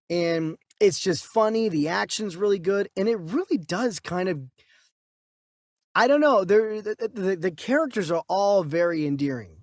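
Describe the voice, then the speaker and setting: Male podcast co-host, thirties, high-pitched voice, dry close sound, rambling and enthusiastic in casual movie talk. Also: no echo. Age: 30s. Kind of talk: casual film review chat.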